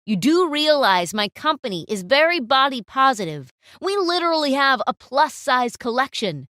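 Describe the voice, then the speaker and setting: A woman's voice: high voice, very animated, normal speed, a bit bright, dry recording, clean studio sound. A woman voicing a composed, stern female client who scolds a photographer.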